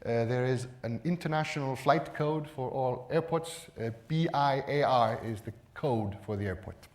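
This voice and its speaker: A man presenting at a conference in a measured, explanatory manner, stressing key words with emphasis. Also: mid-range voice. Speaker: conference speaker.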